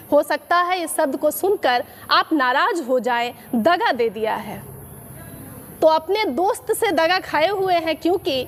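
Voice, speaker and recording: High-voiced woman news interviewer. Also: slight background noise. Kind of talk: pointed political interview questioning.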